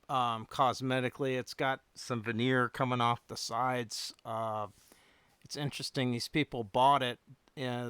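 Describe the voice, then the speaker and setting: A man chatting casually and unscripted as a hobbyist livestream host, rambling a little and thinking aloud in halting phrases. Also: medium pitch, a bit bright, clear, resonant tone, no echo. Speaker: radio repair hobbyist hosting a livestream.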